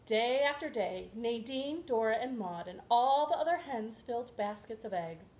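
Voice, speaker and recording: Animated young woman hosting children's storytime. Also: a little high, moderate pace. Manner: playful, exaggerated, expressive. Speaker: children's librarian storytime host. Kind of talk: children's storytime read-aloud. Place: living room at home. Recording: roomy echo, some background hiss.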